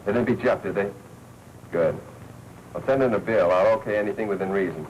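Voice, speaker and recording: An actor playing a wry, shrewd newspaper editor with dry, clipped banter in a 1940s crime film. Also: male voice, on the low side, a little fast, lo-fi sound, lightly acted. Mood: brisk, businesslike. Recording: noisy 1940s film soundtrack.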